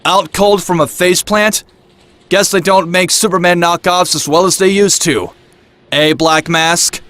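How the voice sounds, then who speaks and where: An actor playing a wisecracking, cynical young vigilante antihero in an animated comic, narrating himself in a dry, sardonic manner. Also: male voice, high voice, measured pace. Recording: roomy, echoing sound.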